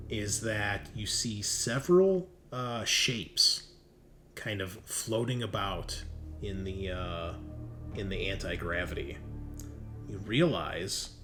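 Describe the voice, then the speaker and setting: A man's voice: fairly low pitch, sharp tone. A man running a horror tabletop roleplaying game as game master, narrating descriptively and suspensefully.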